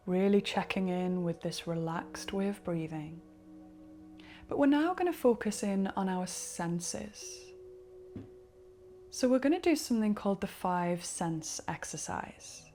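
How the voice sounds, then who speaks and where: A woman leading a guided relaxation, speaking slowly and softly, sometimes dropping into a whisper. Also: mid-range voice. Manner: slow, soft-spoken, partly whispered. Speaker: meditation guide.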